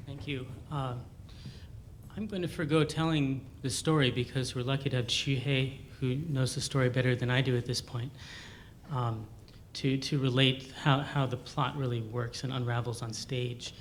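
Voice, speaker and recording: A man's voice: steady tone, moderate pace. A man introducing a performance in unscripted talk, hesitant and searching for his words as he goes. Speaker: composer. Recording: noisy room with low rumble.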